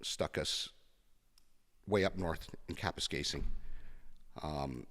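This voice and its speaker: Very deep-voiced Canadian man, reflective. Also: slow pace, fairly bright tone, in a large hall. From Canada, born in Kenya. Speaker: podcast guest. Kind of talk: personal storytelling in an interview.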